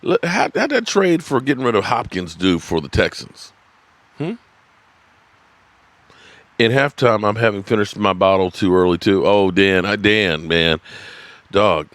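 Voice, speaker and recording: Man, 50s, sports live-stream host. Rambly and salty, laughs with the chat. Low voice, very lively pitch. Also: a little slow, long pauses, no echo. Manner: rambling, swearing, laughing at viewers' comments. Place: at his desk, indoors.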